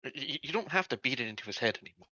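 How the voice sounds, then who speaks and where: A man's voice: medium pitch, animated, racing, pushed and bright, no echo, lo-fi sound. A man talking casually as a player in a tabletop roleplaying game.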